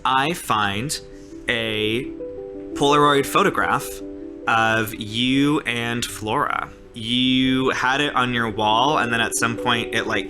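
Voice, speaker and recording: A man improvising narration as a player in a tabletop roleplaying game, in a casual, informal way. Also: medium pitch. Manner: informal, peppered with "like" and "sort of".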